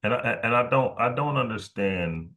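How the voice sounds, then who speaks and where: A man hosting a podcast in casual conversation, halting and repeating words as he thinks aloud. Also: medium pitch, expressive, pushed and bright. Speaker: podcast host.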